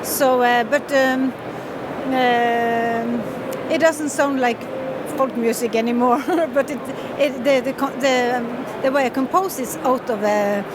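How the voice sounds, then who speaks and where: Woman, 50s, Norwegian accent, musician being interviewed outdoors; high voice, hesitant, restarts often; traffic noise behind. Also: steady tone, takes it slowly. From northern Norway. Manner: hesitant, many fillers and restarts, laughs often. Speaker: fiddle player and composer. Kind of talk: casual interview. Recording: loud street noise behind.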